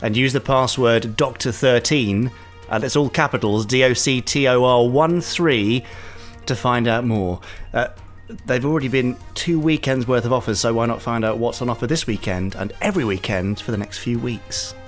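A man's voice: mid-range voice, normal speed, soft background music. A man co-hosting a podcast, chatting casually and reading announcements in a self-deprecating way. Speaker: podcast co-host.

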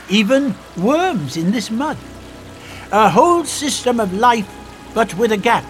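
A man's voice: very high-pitched, fairly bright tone, exaggerated voice. An actor playing an old male time traveller in an audio drama, musing and lecturing with little hums.

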